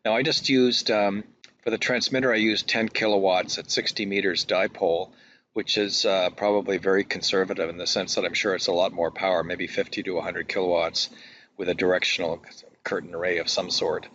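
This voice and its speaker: A man giving a casual screen-capture demonstration as a hobbyist, talking in a halting, technical way. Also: low voice, fairly even intonation, fairly bright tone. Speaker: radio hobbyist presenter. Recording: dull lo-fi audio, faint noise.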